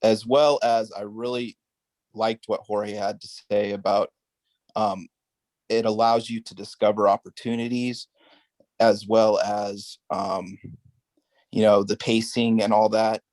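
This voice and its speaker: A man speaking in a casual group discussion, measured and a little hesitant. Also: fairly low pitch, little pitch movement, darkish tone, dry recording. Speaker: study group participant.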